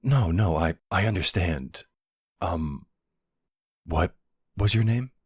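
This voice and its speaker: A very low, husky male voice acted by a man plays an affectionate boyfriend in an audio roleplay. He talks slowly and hesitantly, with nervous tenderness and a teasing edge. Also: expressive, no echo, exaggerated voice. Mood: hesitant, uneasy. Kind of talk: intimate audio roleplay acting.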